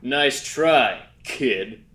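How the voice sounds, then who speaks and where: A man's voice: medium pitch, lively intonation, extremely slow, pushed and bright, full character voice. A man playing a cocky, taunting thief villain in an animation table read, with a mocking delivery. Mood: smug, taunting. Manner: mocking, cackling.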